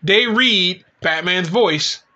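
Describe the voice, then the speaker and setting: A man's voice: sits high, slow pace, bright, forceful tone, muffled recording. A man in his forties chatting casually and emphatically as co-host of a show, rambling with strong opinions and swearing. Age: 40s. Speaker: co-host of a comic book talk show.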